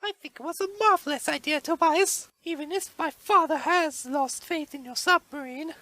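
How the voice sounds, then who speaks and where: A woman's voice: sits high, moderate pace, pushed and bright, dry recording, low-quality recording. A woman playing a loyal, supportive young lady in a comic dub, genteel and formal.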